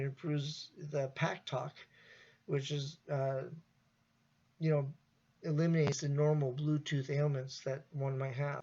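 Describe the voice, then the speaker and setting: A man with a slightly high voice and heavy vocal fry talks through an informal product review. His delivery is halting and improvised, and the recording sounds dull and lo-fi. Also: darkish tone.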